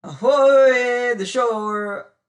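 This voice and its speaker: A reader voicing a booming male ship's officer who roars his threats across the water. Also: very slow, dull audio. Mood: roaring.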